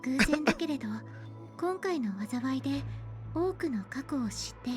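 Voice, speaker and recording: A woman playing a gentle, thoughtful young female singer in a video game, with soft, composed, sincere delivery. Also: high voice, animated, fast. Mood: earnest, reassuring. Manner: soft, composed, feminine phrasing. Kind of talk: Japanese video game voice acting. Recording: game audio with music behind.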